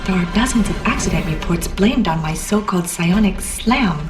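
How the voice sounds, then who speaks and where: Young psychic game heroine actress, mid pitch, flat cool menace, matter-of-fact, slightly off-mic over music. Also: female voice, normal speed, recorded from a distance, lightly acted. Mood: cool, wry. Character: young psychic girl, escaped test subject, coolly menacing.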